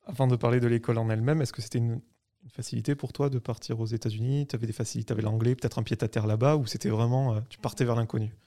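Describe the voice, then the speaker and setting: A man's voice: medium pitch, steady tone, racing, dark tone. A man interviewing a guest, asking questions informally and on familiar terms. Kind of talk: conversational interview.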